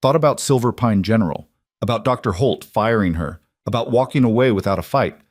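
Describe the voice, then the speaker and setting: A man narrating a scripted military drama story in a steady, measured way. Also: fairly low pitch, no room sound, studio quality.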